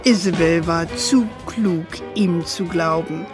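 A woman's voice: fairly low pitch, slow pace. A woman narrating a German children's radio play in a storytelling style. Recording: music under the voice.

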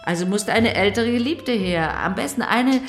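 A woman's voice: mid-range voice, expressive, moderate pace, close to an everyday voice. Actress as blunt sardonic lady in her 60s, dry delivery, bit roomy, music behind. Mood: dryly mocking. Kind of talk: naturalistic radio drama acting.

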